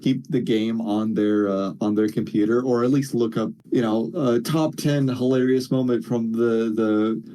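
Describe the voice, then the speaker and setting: Male co-host for a casual gaming chat. Mellow, relaxed tone, halting and slangy, swears freely. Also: medium pitch. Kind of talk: casual chat about video games.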